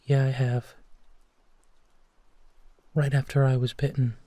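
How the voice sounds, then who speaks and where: A man playing a gentle, protective werewolf, speaking tenderly and soothingly in an intimate sleep-aid roleplay, with a soft, breathy voice. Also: medium pitch, no echo. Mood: quiet, confiding. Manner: hushed, tender, unhurried reassurance with pauses. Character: gentle, protective male werewolf comforting a frightened companion.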